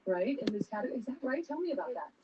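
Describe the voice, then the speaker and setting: A woman's voice: a little high, big pitch swings, racing, very noisy. A woman hosting a live red carpet interview in an effusive, affirming manner. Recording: dull lo-fi broadcast audio.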